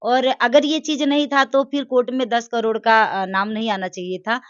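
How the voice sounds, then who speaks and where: A woman's voice: fairly high pitch, steady tone, normal speed, no room sound. A woman giving emphatic opinions in a conversational interview, repeating phrases for stress. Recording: dry, dull lo-fi sound.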